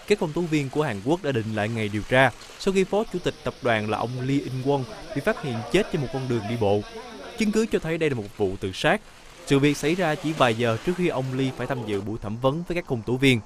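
Man, news narration, middle pitch, steady, formal. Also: fast. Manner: steady, formal report reading.

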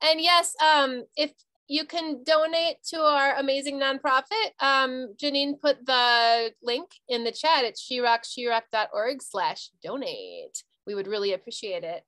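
A woman's voice: high-pitched, expressive, a little slow, bright, forceful tone, dry recording. A woman co-hosting an online open mic on a video call from a home music room, upbeat and organizing. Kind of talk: casual hosting.

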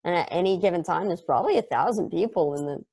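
A man reading an excitable, chatty space probe in a table read over a video call, rambling off into tangents. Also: high-pitched, fast talker, vocal fry, full character voice. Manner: enthusiastic, rambling, tangent-prone.

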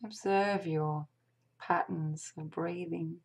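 Very slow, hushed, breathy woman guiding meditation. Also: lowish voice, fairly even intonation. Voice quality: hushed, breathy, partly whispered. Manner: gentle, soothing, deliberate with long pauses. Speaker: meditation guide. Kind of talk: whispered guided meditation.

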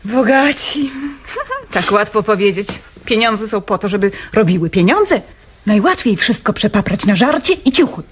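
A woman's voice: medium pitch, lively intonation, moderate pace, husky. A woman acting a thrifty, bossy wife who lectures dismissively in a radio comedy play. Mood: stern, lecturing. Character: thrifty, bossy, scornful wife running family business.

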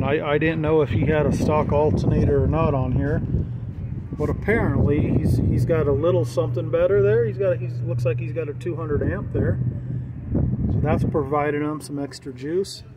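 Higher-pitched American man, casual outdoor commentary. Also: fairly even intonation, moderate pace. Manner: informal, enthusiastic, slangy. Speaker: vlogger filming a CB radio meetup. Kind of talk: casual walk-around commentary. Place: outdoors in a gravel parking lot. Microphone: handheld camera or phone mic. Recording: clear outdoor background noise.

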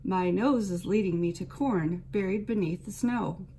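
A woman's voice: mid-range voice, normal speed, dark tone, slight background noise, lightly acted. Woman doing a proud boastful buck.

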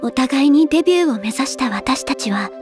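A woman playing a modest, soft-spoken teenage girl idol who speaks very formally in an anime drama. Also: high-pitched, animated, a little fast, over music. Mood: gently reminiscent. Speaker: voice actress in character. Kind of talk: anime audio drama dialogue.

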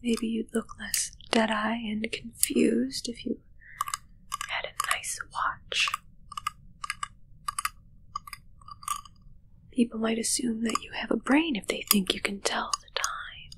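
A haughty immortal witch, played by a young woman, whispers in a close ASMR roleplay. Her delivery is unhurried and sharply bright, with a dry, teasing condescension as she muses to herself. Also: a little high, big pitch swings, gravelly, breathy edge, full character voice. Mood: musing, condescending. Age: young adult. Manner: slow, musing, dryly condescending. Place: small indoor set with dark drapes. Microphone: close ASMR microphone.

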